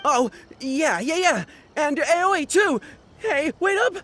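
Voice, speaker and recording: A woman's voice: high voice, animated, takes it slowly, fairly bright tone, slight background noise, heavily acted. A woman playing a flustered, eager-to-please young gang underling in a video game, stammering and pleading. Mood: hurried. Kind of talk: animated video game voice acting. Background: game music.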